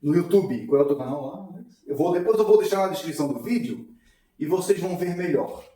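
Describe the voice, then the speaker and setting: A man's voice: fairly high pitch, animated, brisk, mellow. A man hosting a lecture, casually introducing the speaker and talking straight to the viewers. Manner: informal, addresses viewers directly. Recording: echoey hall, mic far off.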